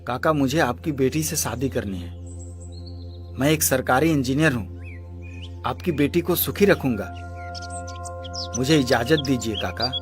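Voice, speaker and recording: Man as young suitor, earnest, firm-minded, average pitch, story voice acting, light music underneath. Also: measured pace, long pauses, lightly acted. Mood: respectful, pleading. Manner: earnest, resolute. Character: earnest, lovestruck young male engineer, firm-minded son. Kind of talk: Hindi moral story voice acting.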